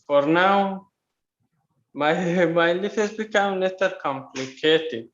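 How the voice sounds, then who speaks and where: A slightly high-voiced man with an Afghan accent tells his own story in halting, non-native English. He speaks slowly, over a video call with a clear room echo. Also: a bit bright. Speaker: guest, refugee. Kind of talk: personal account in a video meetup. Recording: echoey room, muffled video-call audio.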